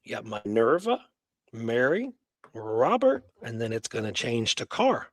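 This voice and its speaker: A man's voice: mid-range voice, big pitch swings, unhurried, no room sound. A man hosting a casual talk on research, conversational and thinking aloud as he presents. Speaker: host.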